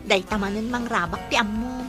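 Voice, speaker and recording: A woman's voice: on the high side, fairly even intonation, brisk, music in the background, close to an everyday voice. An actress with a Filipino accent playing a sweet, modest, studious schoolgirl in a radio drama. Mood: earnest, teasing. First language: Ilocano.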